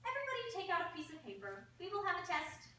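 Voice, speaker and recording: Very high, distant-miked actress as stern but scatty schoolmarm, theatrical, illogical. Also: female voice, huge pitch swings, very fast, pushed and bright, heavily acted. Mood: brisk, scolding. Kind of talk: reader's theatre stage performance. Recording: very echoey room, distant mic, faint noise.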